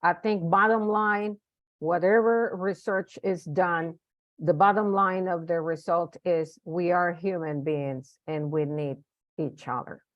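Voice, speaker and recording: A woman's voice: lowish voice, fairly even intonation, slow pace, dry recording. A woman giving casual comments as a participant in an online meeting, over lo-fi call audio. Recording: dull, dry lo-fi call audio.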